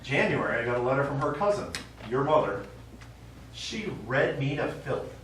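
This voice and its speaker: An actor playing an elderly, stubborn widower and war veteran, reminiscing in a wry, rambling way in a stage play. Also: male voice, mid-range voice, very animated, moderate pace, a bit bright. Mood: nostalgic, wry. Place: small theatre stage, recorded from the audience. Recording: echoey small theatre, distant mic, faint noise.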